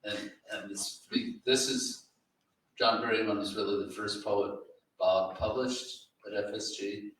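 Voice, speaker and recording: A man moderating a live literary event with casual, halting remarks to the audience, often repeating words. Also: lowish voice, steady tone, a bit bright. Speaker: editor and moderator of a live reading. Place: large indoor library room at a live event. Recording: very echoey room, distant mic, faint noise.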